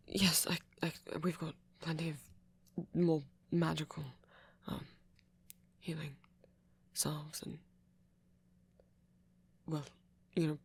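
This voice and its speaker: Centuries-old British male vampire played by a man, proud yet anxious, breathy and stammering, slow-paced, ASMR romance. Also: fairly high pitch, full character voice. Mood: flustered, hesitant. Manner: halting, stammering, earnest. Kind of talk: ASMR romance roleplay acting.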